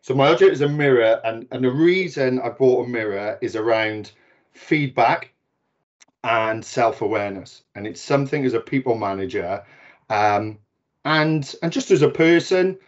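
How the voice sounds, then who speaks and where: A Welsh man in his forties speaks as a guest on a video call, his voice sitting at an ordinary middle pitch. He talks in a chatty, self-deprecating way with frequent restarts. The audio is dull and lo-fi. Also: animated, normal speed. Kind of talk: webinar talk.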